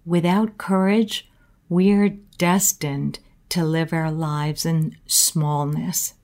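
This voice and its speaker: A woman giving a slow, earnest inspirational talk about courage, reflecting on her own life. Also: sits low, fairly even intonation, darkish tone. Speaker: motivational speaker.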